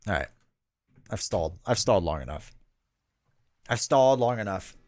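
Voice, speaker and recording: A man's voice: lowish voice, sing-song, normal speed, a little mellow, no echo, studio quality, soft background music. Rambling man in his thirties. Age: 30s. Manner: rambling, self-deprecating, with frequent "like" and restarts. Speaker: livestream host. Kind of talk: casual livestream chat.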